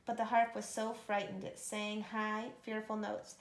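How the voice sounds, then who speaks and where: Female children's storyteller: expressive narration, noticeable vocal fry, roomy recording. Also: medium pitch, a little slow. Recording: echoey room.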